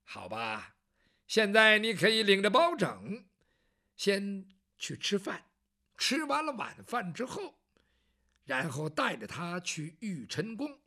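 Brief: a storyteller playing a deep, unhurried emperor giving orders. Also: big pitch swings, sharp tone, exaggerated voice. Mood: commanding. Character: commanding emperor, older man.

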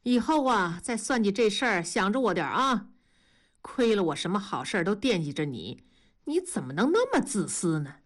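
A woman's voice: medium pitch, very animated, normal speed. A woman voicing a good-natured young male factory worker in an audiobook, earnest and conciliatory in colloquial speech. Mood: teasing exasperation.

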